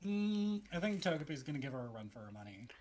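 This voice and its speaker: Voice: mid-range voice, big pitch swings, fast, a little mellow, slight background noise. An American podcast co-host from Texas, chatting casually. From Texas, United States. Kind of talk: casual chat.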